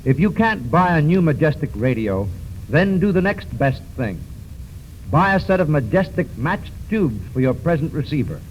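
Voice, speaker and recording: A man announcing formally for a 1930s radio serial and reading its sponsor's pitch in a declamatory style. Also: big pitch swings, moderate pace. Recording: muffled, faintly noisy 1930s radio recording.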